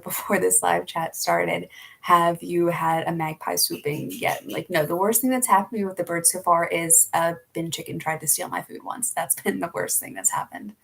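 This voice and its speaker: A woman's voice: lowish voice, vocal fry, low-quality recording. A young woman from Philadelphia with a Philly accent, chatting casually and laughing often as she answers viewer questions in a live stream. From Philadelphia, USA.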